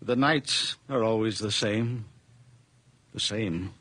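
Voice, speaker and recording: A frail old man in a radio play: keep the voice higher and bright but husky and dry, speak slowly, and let the fear creep in. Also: no room sound. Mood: weary. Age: older. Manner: brooding and weary, breaking into frightened cries. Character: frail, fearful old widower awake at night. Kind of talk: 1980s radio drama acting.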